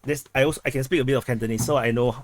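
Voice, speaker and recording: A man in casual group chat on a low-quality backup recording, talking haltingly with many restarts while he explains things through comparisons. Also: fairly even intonation, slight background noise. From Southeast Asia. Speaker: chat participant. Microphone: video call.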